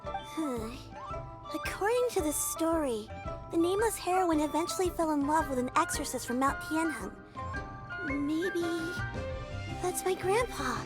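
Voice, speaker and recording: A woman's voice: sits high, expressive, measured pace. A woman voicing a shy, earnest little village girl in a video game, with a hesitant, childlike way of talking. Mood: thoughtful. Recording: music under the voice.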